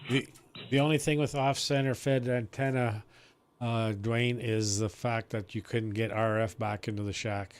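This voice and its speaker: A man's voice: medium pitch, steady tone, normal speed, clean tone. A man talking casually as an amateur radio operator in a technical chat.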